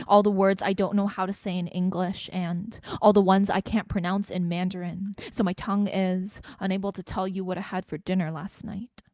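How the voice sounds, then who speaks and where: A woman's voice: medium pitch, a little mellow, no room sound. A woman, a spoken word poet performing and introducing her own work. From Canada, Greater Toronto Area.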